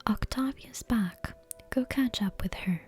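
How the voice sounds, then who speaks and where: Woman reading as storybook magic mentor witch: calm, blunt, matter-of-fact, in a very breathy mellow whisper. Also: a little high, a little slow, faint music behind.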